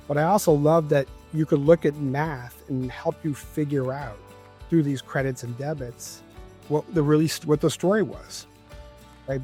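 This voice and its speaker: A man's voice: mid-range voice, warm tone. A man in his fifties from the Boston area, talking reflectively as a podcast guest and often checking in with "right?". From Cambridge, Massachusetts. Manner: reflective, tags points with "right?". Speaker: guest, former FBI agent and CEO. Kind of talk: conversational podcast interview.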